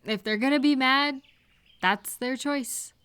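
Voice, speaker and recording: A woman plays a supportive female friend in a casual, intimate audio roleplay. Her voice sits a little high and she speaks slowly. She is gentle and reassuring, talking straight to the listener. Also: smooth. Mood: calm, reassuring.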